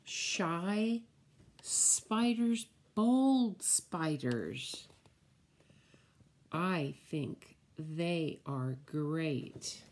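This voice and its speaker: A middle-aged woman reads a picture book to little children in a fairly low voice. Her delivery is very slow and deliberate, with words drawn out and simply explained, as a preschool teacher would at story time. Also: background noise. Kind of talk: picture-book read-aloud for small children. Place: indoors, room at home with window blinds.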